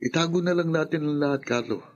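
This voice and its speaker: A man's voice: fairly high pitch, quick pace, lo-fi sound, slight background noise, lightly acted. An actor playing a pushy, self-protective young man in a Filipino radio drama, brusque and insistent. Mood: insistent, warning.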